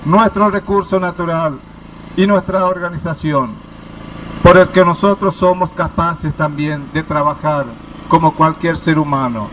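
Impassioned high-voiced man giving a protest speech. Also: steady tone, measured pace, fairly bright tone, slight background noise, rough recording. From Formosa province, Argentina. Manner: impassioned, rhetorical questions, raised voice.

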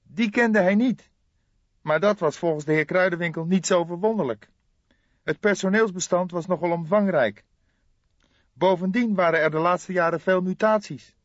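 A man's voice: sits high, moderate pace, dry recording, pitched above the performer's own voice, close to an everyday voice. A man voicing a young, weary police detective who asks and queries in an audiobook. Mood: weary reporting. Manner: querying, slightly exasperated. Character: young male police detective, eager, weary, questioning sidekick. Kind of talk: audiobook character dialogue. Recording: dull lo-fi recording.

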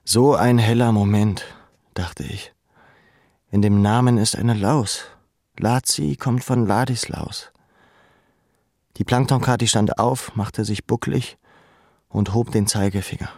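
A reflective camp inmate telling his memories in an audio drama. His voice is fairly low and gravelly, recorded close and dry. The delivery is measured and understated. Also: steady tone, darkish tone, no room sound. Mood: quiet, observant. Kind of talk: literary audio drama narration and dialogue.

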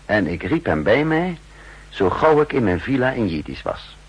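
An actor playing a brooding spy chief who narrates his own story gravely and deliberately in a 1960s radio play, with a gravelly voice. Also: fairly low pitch, very animated. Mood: measured, wry. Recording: 1960s radio recording, faint hum and noise.

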